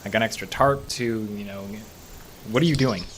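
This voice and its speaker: A man's voice: lowish voice, normal speed, very noisy, natural voice. A man playing a reluctant, cautious park ranger in an audio drama, speaking in a grumbling, wry, hesitant way. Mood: uneasy.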